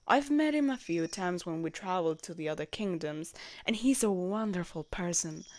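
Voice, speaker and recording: A woman playing a jealous, protective royal knight in an intimate audio roleplay, expressive and blunt in manner. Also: mid-range voice, dry recording, natural voice. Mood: measured, gently dismissive. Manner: expressive, blunt, sweary, teasing. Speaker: voice actor in a romantic audio roleplay.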